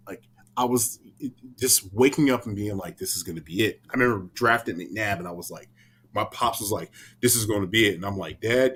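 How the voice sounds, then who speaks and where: A man's voice: on the low side, a little hiss or hum. A man in his thirties from Philadelphia, a guest on a casual podcast, telling stories with slang and acting out what people said. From Philadelphia, USA. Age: 30s. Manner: animated storyteller, acts out quotes, slangy.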